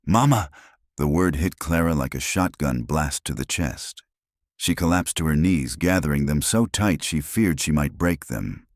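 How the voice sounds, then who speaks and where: A man narrating a scripted western drama in a slow, even storytelling manner. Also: a bass voice, dry recording, studio quality.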